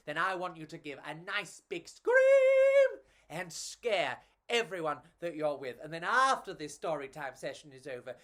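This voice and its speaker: A woman reading to children as a storyteller, with a theatrical, playful and measured delivery. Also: deep voice.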